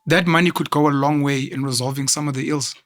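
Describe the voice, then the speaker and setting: A man's voice: mid-range voice, fairly even intonation, fairly bright tone. Probing South African interviewer, man. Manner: probing, measured questions. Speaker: interviewer host. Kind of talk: interview conversation.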